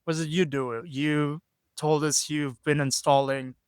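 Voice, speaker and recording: A man's voice: on the high side, steady tone, a little slow, smooth, no room sound, studio quality. A man hosting a casual podcast interview, talking in a hesitant, rambling way with lots of ums and "so, yeah". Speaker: podcast host.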